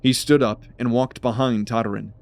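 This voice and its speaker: Male fantasy narrator, mid-pitched. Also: fairly even intonation, normal speed. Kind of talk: scripted dramatic story narration.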